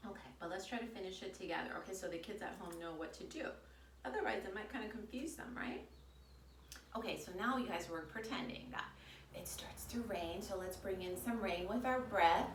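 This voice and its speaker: A woman's voice: mid-range voice, brisk. A woman leading a children's yoga storytime, giving simple, encouraging instructions to young children. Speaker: kids' yoga and storytime teacher. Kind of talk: guided children's yoga lesson. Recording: roomy, distant mic, faint background noise.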